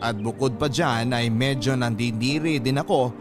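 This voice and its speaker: A man's voice: medium pitch, moderate pace. A man with a Filipino accent reading a listener's letter as a radio host, in dramatic scripted narration. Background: music.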